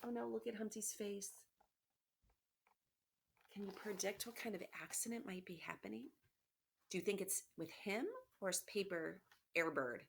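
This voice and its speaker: A woman teacher reading aloud to children, expressively and encouragingly, pausing to explain and ask questions. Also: medium pitch, creaky, a bit bright. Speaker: elementary school teacher. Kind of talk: children's read-aloud with questions and asides to pupils. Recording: noticeable background noise, clicks.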